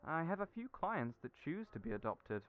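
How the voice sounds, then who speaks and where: A man voicing a young friend who talks earnestly and encouragingly, raised in pitch from his own voice. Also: big pitch swings, moderate pace, natural voice. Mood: explaining. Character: warm, reassuring friend, young adult. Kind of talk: audio roleplay acting. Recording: tinny phone-like audio.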